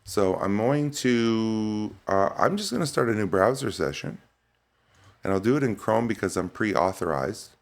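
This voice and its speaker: A man presenting a software demo in a casual, informal way, thinking aloud as he works through it. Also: lowish voice, big pitch swings, a little slow, creaky. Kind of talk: casual screen-share tech demo.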